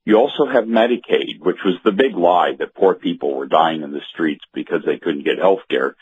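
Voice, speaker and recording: A man giving halting political commentary as a guest on talk radio, often repeating words. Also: low-pitched, slightly rough. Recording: muffled, narrow phone-like radio audio.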